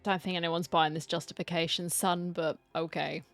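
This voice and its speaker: Low-voiced, sarcastic woman gamer. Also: flat delivery, moderate pace, faint music behind. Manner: sarcastic, blunt, slangy. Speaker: gamer host reacting to a story quest. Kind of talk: casual gaming commentary.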